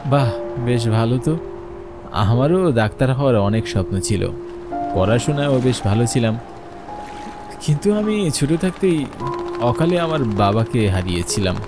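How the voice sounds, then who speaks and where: A man plays an earnest young peddler telling his own ghost story for a horror cartoon. His voice sits at a middle pitch and is dramatic and expressive. Music plays clearly behind him. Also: moderate pace, long pauses, lightly acted. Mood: friendly, wistful. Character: earnest young male cloth peddler narrating his ghostly ferry journey. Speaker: first-person narrator.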